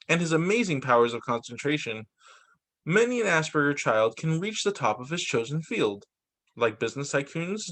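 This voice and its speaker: Male teacher for children's read-aloud: higher-than-average voice, very animated melody, expressive storytelling with casual asides. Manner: expressive reading with short spoken asides. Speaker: teacher reading picture books to pupils.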